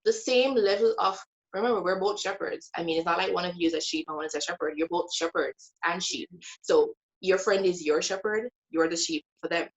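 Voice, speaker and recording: A woman's voice: lowish voice, brisk, lo-fi sound. A woman guest sharing her Bible study in a casual faith conversation. She speaks earnestly and with emphasis, stretching out key words like a teacher.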